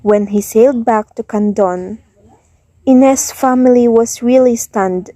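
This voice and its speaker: Slow, mellow woman narrator. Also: fairly high pitch, no room sound. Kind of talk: scripted storytelling narration.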